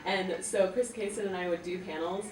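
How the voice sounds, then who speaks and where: A woman's voice: fairly low pitch, brisk, a little mellow, soft background music. A woman voice actress and singer chatting on a convention panel, bubbly and peppered with "like". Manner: bubbly, lots of "like", laughs between words. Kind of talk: casual panel talk. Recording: echoey hall, distant mic, noisy.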